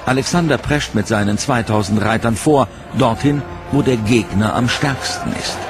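A man narrating a history documentary in a measured, dramatic scripted delivery. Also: medium pitch. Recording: voice-over over loud music. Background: music bed.

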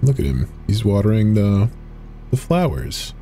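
A man's voice: fairly low pitch, big pitch swings, a little slow, dark tone, slight background noise. A man reading web pages and installer text aloud for a horror video, adding short casual asides as he clicks through. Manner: reads on-screen text aloud, with brief asides. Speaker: horror game video narrator. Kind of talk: dramatic reading of on-screen text.

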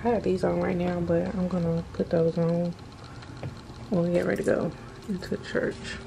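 A woman in her thirties, talking casually to her camera in a bedroom at home with a relaxed, offhand manner. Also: fairly low pitch, normal speed, creaky, noisy background. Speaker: vlogger. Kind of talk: casual vlog chat. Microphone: handheld compact camera.

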